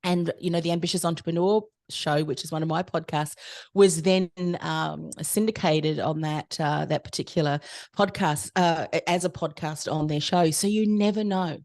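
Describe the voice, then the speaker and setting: Aussie woman guest, business coach, pretty low voice, talks in long easy stories. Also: fairly even intonation, normal speed, darkish tone. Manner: fluent, expansive storytelling. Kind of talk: casual interview chat.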